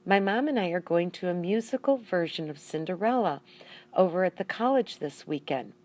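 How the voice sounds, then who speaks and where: A woman's voice: on the low side, expressive, normal speed, a little mellow, natural voice. Woman as a friendly, eager schoolgirl.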